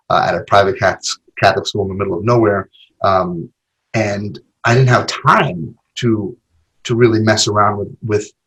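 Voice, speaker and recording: A podcast guest in his forties talks through his memories in a loose, conversational way, often doubling back on his own words. His voice sits low and has a breathy, husky edge. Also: low-quality recording. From Argentina. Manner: rambling, with many fillers and repeated words. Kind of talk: casual interview conversation.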